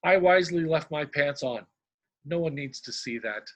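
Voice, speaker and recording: A middle-aged man from Indiana, a humor columnist with a deadpan manner, sharing his work. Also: fairly high pitch, normal speed. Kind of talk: reading a humorous diary-style column, then casual talk.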